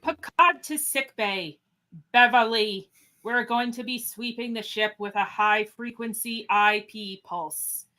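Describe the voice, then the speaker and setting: A woman's voice: fairly high pitch, takes it slowly, sharp tone. A woman playing a dignified, authoritative starship captain in a fan radio play. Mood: commanding, formal. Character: commanding, questioning starship captain, formal and dignified.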